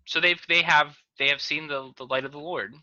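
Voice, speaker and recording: A man hosting a loose chat over a video call, tossing out dry, teasing remarks. His voice is very bright and forceful. The sound is dry and dull, like lo-fi call audio. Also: fairly high pitch, fairly even intonation, brisk, no echo. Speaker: host.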